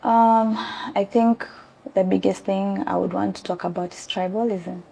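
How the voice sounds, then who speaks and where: A young woman from South Sudan answering interview questions earnestly, pausing often with ums. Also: medium pitch, fairly even intonation, vocal fry, warm tone, a little hiss or hum. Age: young adult. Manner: earnest, hesitant with many ums. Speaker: interview guest. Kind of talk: conversational interview.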